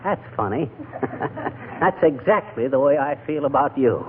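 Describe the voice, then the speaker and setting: An actor playing a blustery, wisecracking American husband with folksy banter in a 1940s radio comedy. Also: male voice, medium pitch, expressive, normal speed, lightly acted. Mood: dry needling. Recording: tinny, hissy 1940s radio recording.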